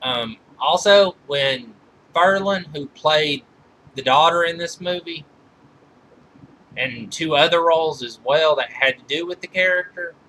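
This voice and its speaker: A man giving an informal review commentary from notes, halting and often backing up to rephrase his sentences. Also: a little high, bright, forceful tone, a little hiss or hum, rough recording. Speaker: video reviewer counting down a list of movies.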